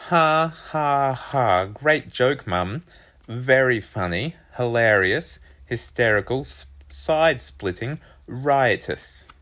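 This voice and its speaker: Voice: medium pitch, huge pitch swings, unhurried, fairly bright tone, pitched above the performer's own voice. A narrator voicing a self-conscious schoolboy in a storybook, whiny, sarcastic and complaining.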